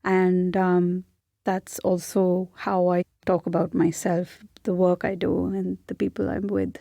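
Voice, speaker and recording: Young Indian-accented woman, interview guest, reflective and searching, mellow tone, dry close sound. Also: on the low side, flat delivery, a little slow, creaky, no room sound. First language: Hindi. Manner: thoughtful, searching, with frequent restarts. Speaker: podcast guest.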